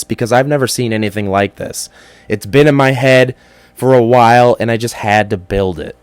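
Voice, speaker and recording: Hoarse man hosting a casual podcast. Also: medium pitch, dry recording. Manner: chatty and excitable, with frequent fillers. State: hoarse from a cold. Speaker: podcast host. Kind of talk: casual solo podcast talk.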